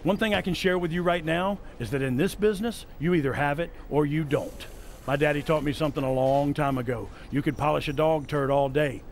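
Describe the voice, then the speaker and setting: Folksy older trainer in a game, man playing him, gravelly, slightly high, faint hiss. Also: brisk, lightly acted. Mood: friendly. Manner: folksy, homespun. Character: folksy older male wrestling trainer, joking.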